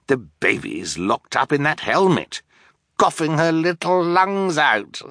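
Sneering, theatrical storybook villain. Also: male voice, fairly high pitch, big pitch swings, slow, fairly bright tone, dry recording, studio quality, voice pushed up, heavily acted. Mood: menacing. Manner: cackling, crowing, menacing.

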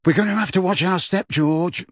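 A man's voice: fairly high pitch, lively intonation, takes it slowly, no echo, clean studio sound. A man voicing a mischievous teenage twin brother with a taunting, sarcastic way of talking. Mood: mocking.

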